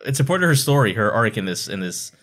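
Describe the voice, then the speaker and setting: A man's voice: on the low side, sing-song, quick pace, a bit bright. A man co-hosting a podcast, talking casually and giving his opinions on books in a conversational way. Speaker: podcast co-host. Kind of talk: casual critical book discussion.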